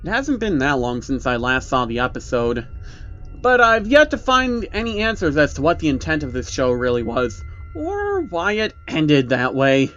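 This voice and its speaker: A man's voice: a little high, huge pitch swings, normal speed, fairly bright tone, lo-fi sound. A man narrating a creepy first-person story as a storyteller. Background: background music.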